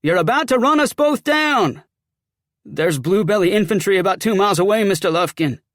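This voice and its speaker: A man's voice: sits high, quick pace, no echo, clean studio sound, pitched above the performer's own voice, lightly acted. A man voicing a scared young Confederate sergeant in an audiobook, with folksy, ungrammatical, blurting speech. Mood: urgent alarm. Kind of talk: audiobook character acting.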